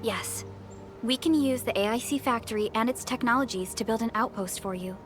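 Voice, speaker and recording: An actress playing a composed, reassuring female mission advisor in a video game, speaking in a measured, formal way. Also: a little high, natural voice. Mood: businesslike. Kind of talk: dramatic video game voice acting. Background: game music.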